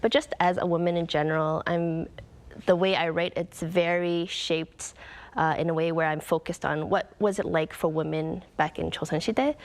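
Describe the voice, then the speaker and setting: A young woman author from Canada giving earnest, reflective interview answers, with frequent "um" and "like". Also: lowish voice. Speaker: author guest.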